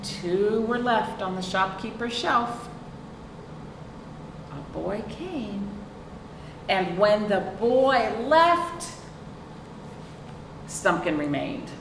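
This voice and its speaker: A woman's voice: medium pitch, big pitch swings, drawn-out words, long pauses. A woman reading a picture book aloud to young children, expressively, with chatty questions and asides to her listeners. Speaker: librarian hosting a children's storytime. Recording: roomy, distant microphone, clear background noise.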